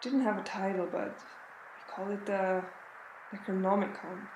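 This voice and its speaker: A woman acting as a frightened Antarctic expedition member in a radio drama, speaking a halting, brooding journal as dread takes hold. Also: medium pitch, level tone, close to an everyday voice. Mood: uneasy wonder. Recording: roomy echo with clear background noise.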